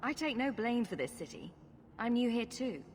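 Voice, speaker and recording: A woman's voice: on the high side, very animated, moderate pace, slight background noise. A woman playing a dry, challenging human adventurer in a video game, with a wry, direct way of questioning. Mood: wry, unimpressed. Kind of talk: video game cutscene acting.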